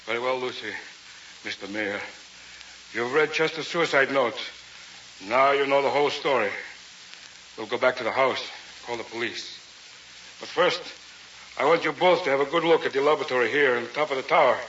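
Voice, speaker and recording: A male actor plays an arrogant man of science in an old radio play, lecturing pompously and coldly. His medium-pitched voice has a bright, forceful edge. The recording is dull and faintly hissy. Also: moderate pace, long pauses, close to an everyday voice. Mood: cold, commanding. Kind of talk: vintage radio drama acting.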